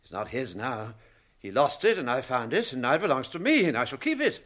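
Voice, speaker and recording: A man's voice: mid-range voice, very animated, brisk, a bit bright. A British man playing a fussy, testy old hobbit in a radio drama, chatty and prickly in manner. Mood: possessive, defensive.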